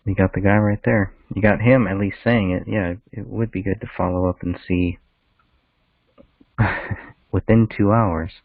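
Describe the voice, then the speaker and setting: A man's voice: on the low side, fairly even intonation, normal speed, long pauses, no room sound. A man co-hosting a casual discussion of a case over a call, heard through a narrow phone line.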